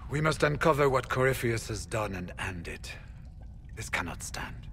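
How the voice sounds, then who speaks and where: An actor playing a grave, duty-bound veteran warrior in a fantasy game, speaking in a solemn, formal way. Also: male voice, mid-range voice, lively intonation, moderate pace, a bit bright, slight background noise. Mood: ominous, resolute. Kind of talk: dramatic fantasy game acting.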